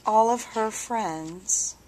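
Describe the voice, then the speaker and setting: A woman reads a picture book aloud for children. Her voice sits slightly high and she goes slowly, phrasing expressively and pausing for drama. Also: warm tone, a little hiss or hum, rough recording. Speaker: storybook reader. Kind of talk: children's story read-aloud.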